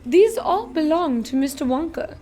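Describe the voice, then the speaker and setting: A woman's voice: high voice, expressive, normal speed, warm tone, faint music behind. A woman reading aloud and voicing a stout, breathless rich mother who talks fussily. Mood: fussy.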